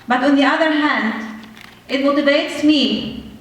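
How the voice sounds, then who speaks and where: Slow, earnest woman from Syria in an echoey hall. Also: sits high, distant mic. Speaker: speaker sharing refugee story. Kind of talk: prepared speech at an event.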